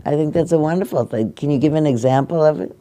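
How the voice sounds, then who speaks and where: An older woman giving a meditation talk, speaking gently and haltingly as she answers questions from her audience. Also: low-pitched. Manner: gentle, halting, restarts often. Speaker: meditation teacher. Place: meditation hall.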